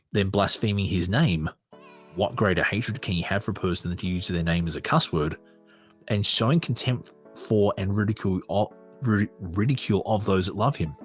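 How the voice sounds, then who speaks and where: A man with an Australian accent and a husky voice, hosting a podcast, reading aloud and commenting off the cuff in a conversational way. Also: on the low side, normal speed, faint music behind. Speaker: podcast host.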